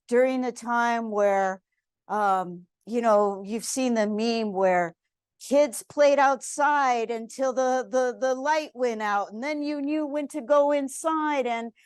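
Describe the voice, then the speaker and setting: A woman in her fifties gives a museum lecture in a slow, unhurried way, with earnest and heartfelt words. Her voice is smooth and clear, a touch higher than most, and it sits dry and close with no room echo. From San Antonio, Texas. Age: 50s. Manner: earnest, heartfelt, with frequent pauses and fillers.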